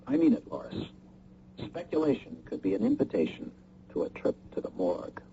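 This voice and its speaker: Faint hiss and dulled sound frame a middle-aged secret agent in an old radio mystery. The man is calm, wry and guarded, with a creaky, very expressive voice. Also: fairly high pitch, brisk, full character voice. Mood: grave. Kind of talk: radio mystery drama acting. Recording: muffled, noisy old radio broadcast.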